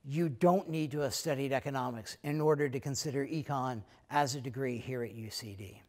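A middle-aged American man, head of an economics school, talking casually to prospective students in a relaxed way, with many fillers. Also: mid-range voice, brisk. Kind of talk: recorded talk to prospective students. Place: lecture room, then plain backdrop indoors.

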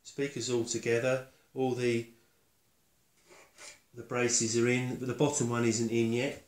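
An older man giving a casual step-by-step demonstration, halting and matter-of-fact as he thinks aloud while working. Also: medium pitch, flat delivery. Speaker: woodworker demonstrating his build. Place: concrete-block workshop and house room. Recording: echoey room, faint noise, handling clicks.

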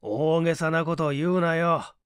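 Man playing a cool, composed gentleman sleuth. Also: fairly high pitch, measured pace, smooth, no echo, clean studio sound, natural voice. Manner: calm, polite, clipped authority. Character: cool, composed gentleman detective, politely menacing.

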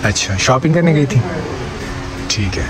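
A man's voice: medium pitch, animated, moderate pace, over loud music. A young man with a Saraiki accent hosting a street vlog, teasing people and laughing often, recorded outdoors in a courtyard on a phone camera. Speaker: vlogger host. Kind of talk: joking street vlog banter.

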